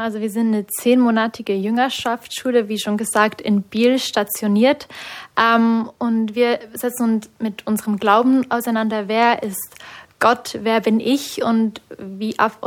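A young woman from Switzerland with a Swiss German accent, a native Swiss German speaker, guests on a radio interview as a discipleship school student. Her voice sits fairly high but moves little in pitch. She answers hesitantly and nervously, with frequent fillers.

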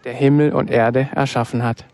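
A man presents on radio as an interviewer, speaking politely at a medium pitch. His voice is recorded dry, with little room sound. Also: steady tone, moderate pace, darkish tone, no echo.

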